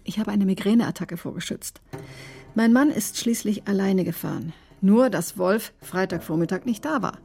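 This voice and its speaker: Radio drama: sharp-tongued female doctor, played by an actress, controlled, defensive, ordinary mid pitch. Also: moderate pace, gravelly, lightly acted. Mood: tense recounting. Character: bitter, sharp-tongued woman doctor recounting her ordeal. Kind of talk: radio drama acting, confessional narration and dialogue.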